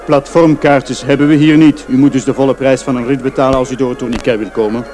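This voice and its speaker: A man's voice: mid-range voice, steady tone, quick pace, a little mellow, natural voice. Guy acting a stuffy rule-bound fairground attendant, radio drama, formal and nitpicky, bit of music under him. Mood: stubborn, pedantic. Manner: formal, bureaucratic, pedantic. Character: officious, rule-bound male ghost train attendant.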